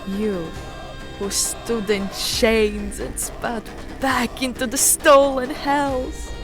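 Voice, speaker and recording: A woman playing a proud, fervent highborn noblewoman in a fantasy audio drama, speaking in a solemn, deliberate, theatrical way. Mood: adoring, intense.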